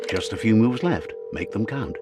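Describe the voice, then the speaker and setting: A man's voice: on the low side, animated, normal speed, heavy background noise. A man narrating a video game in a wry storybook style, guiding the player along. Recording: music playing under the voice. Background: game music.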